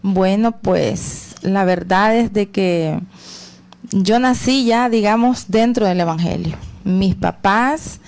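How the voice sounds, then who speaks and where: Una mujer con acento salvadoreño da su testimonio personal de fe con calma, pausando con muletillas como "verdad" y "pues". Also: female voice, medium pitch. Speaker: guest giving testimony.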